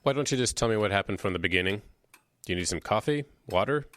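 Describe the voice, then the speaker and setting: A man acting in a radio drama as a calm interrogator, putting short, probing questions. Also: fairly low pitch, fast talker. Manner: brief, prompting questions.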